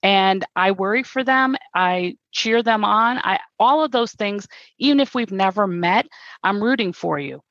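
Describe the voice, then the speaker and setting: A woman's voice: medium pitch, expressive, unhurried, a bit bright, dry recording. Woman, earnest, reflective, slightly muffled dry audio. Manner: earnest, reflective, repeats words when restarting. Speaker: guest. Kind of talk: conversational interview.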